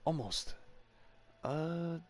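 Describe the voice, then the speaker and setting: A man's voice: fairly high pitch, animated, extremely slow. A man giving casual, slangy reaction commentary as he plays a game on stream.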